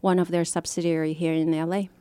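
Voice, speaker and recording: A woman's voice: lowish voice, level tone, fast talker, mellow, clean tone. A middle-aged woman from China, a professor guest on a radio talk show, speaking earnestly with many restarts and fillers. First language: Chinese. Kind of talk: radio interview.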